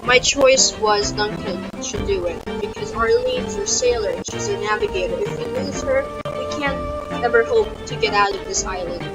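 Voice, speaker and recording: Woman, chatty game commentary, lively up-and-down voice, loud game music underneath. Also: sits high, normal speed, poor audio. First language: Filipino. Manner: chatty, talks through her choices with restarts. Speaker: gaming YouTuber. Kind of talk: let's-play commentary, reading game text aloud.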